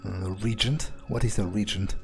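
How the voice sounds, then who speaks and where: A man's voice: on the low side, normal speed, gravelly, faint music behind. A man role-playing a cocky, foul-mouthed fledgling vampire in improvised video game commentary, muttering hesitantly and swearing casually. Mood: guarded, curious. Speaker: game streamer role-playing his character. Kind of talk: in-character improvised gameplay commentary.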